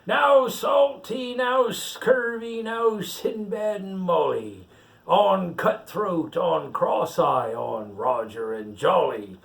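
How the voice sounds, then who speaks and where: A man doing a gravelly old pirate captain. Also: fairly high pitch, distant mic, exaggerated voice. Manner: folksy, chatty asides, tag questions with eh. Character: gruff jovial old male pirate captain. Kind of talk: reading a rhymed picture book aloud in character.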